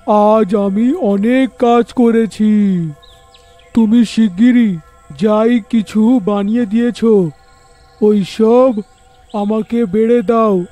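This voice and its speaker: A man as a slow, high-pitched ghost husband. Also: warm tone. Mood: tired. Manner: slow, drawn-out delivery. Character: simple, weary ghost husband and father.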